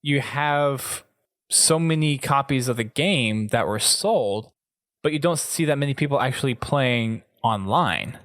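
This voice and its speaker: A man's voice: mid-range voice, animated, a little slow, a bit bright, no echo, studio quality. A man from the United States hosting a casual gaming podcast in a conversational way. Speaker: podcast host.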